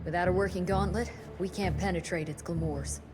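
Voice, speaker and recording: An actress plays a composed, dry-witted female officer in a game cutscene, her voice a little low and level. Loud music plays behind her. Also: fairly quick, lightly acted. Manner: calm, dry, level-headed.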